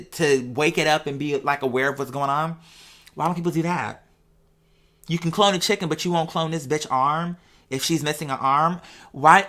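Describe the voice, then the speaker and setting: A woman with a fairly low voice talks off the cuff as a show host. She muses conversationally, posing rhetorical questions and checking that the listener follows along. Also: a bit bright. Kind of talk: casual unscripted monologue to followers.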